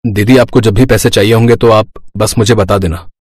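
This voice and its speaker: A man's voice: on the low side, steady tone, brisk, slightly rough, no room sound, studio quality. A man voicing a helpful, protective younger brother, speaking earnestly in a moral story. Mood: reassuring. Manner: earnest, reassuring. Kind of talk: Hindi moral story voice acting.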